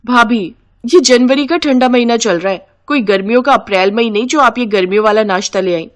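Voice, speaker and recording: A young sister-in-law from a family cartoon, put on by a woman. Her voice sits high. She complains and protests in a whiny manner. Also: brisk, close to an everyday voice. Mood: complaining.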